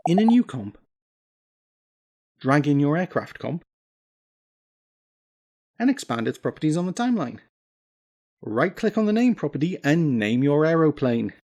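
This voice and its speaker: A man narrating a scripted software tutorial, giving clear step-by-step instructions with wry, self-deprecating asides. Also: fairly high pitch. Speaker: tutorial presenter.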